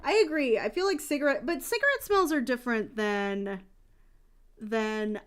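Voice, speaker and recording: A woman's voice: a little high, animated, moderate pace. A young adult woman streamer chatting casually, rambling and candid with frequent restarts. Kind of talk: casual chat.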